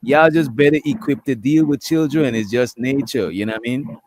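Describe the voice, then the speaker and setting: A man with a raspy voice, talking in a laid-back, halting way on a panel. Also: medium pitch, darkish tone, slight background noise. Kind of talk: casual panel chat.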